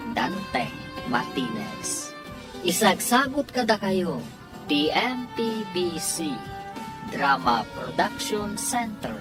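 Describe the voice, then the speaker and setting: A woman with an Ilocano accent giving dramatic scripted narration as the announcer of a radio drama. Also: fairly low pitch.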